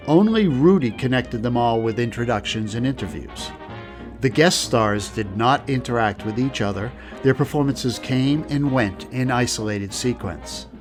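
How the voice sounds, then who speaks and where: A man reading scripted documentary narration as the narrator. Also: medium pitch.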